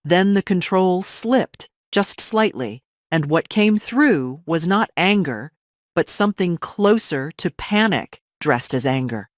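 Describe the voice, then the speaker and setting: Female story narrator: deep register, composed, deliberate, very dry close sound. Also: animated, no room sound. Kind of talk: scripted first-person dramatic narration.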